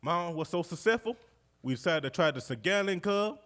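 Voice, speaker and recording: An actor playing a jovial, warmhearted World War II veteran from Georgia with a Southern accent, spinning his memories in a folksy, exuberant way on stage. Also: sits high, expressive, a little fast. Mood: playful, gleeful. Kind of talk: live stage storytelling monologue, conversational. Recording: live stage recording in recreation hall.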